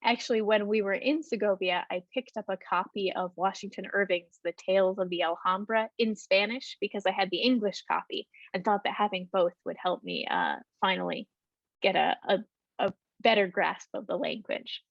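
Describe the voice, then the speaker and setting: A woman's voice: medium pitch, animated, moderate pace, creaky, no room sound. A woman presenting a travel talk and answering audience questions over a video call, hesitant with many ums and restarts. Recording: dull lo-fi video call audio.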